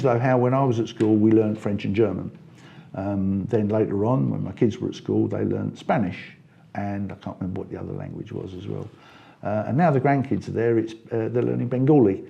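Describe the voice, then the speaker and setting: An older man with a gravelly, mellow voice chats freely in an interview. He talks at length, piles up restarts and fillers, and keeps drifting into side remarks. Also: on the low side, highs cut off. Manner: talkative, many restarts and fillers. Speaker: interviewee, party member. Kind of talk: casual conversational interview.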